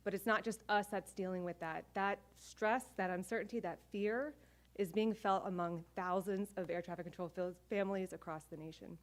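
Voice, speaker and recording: A middle-aged woman with a mid-pitched voice gives earnest testimony at a press conference. She speaks in a measured way, as a working air traffic controller. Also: fairly even intonation.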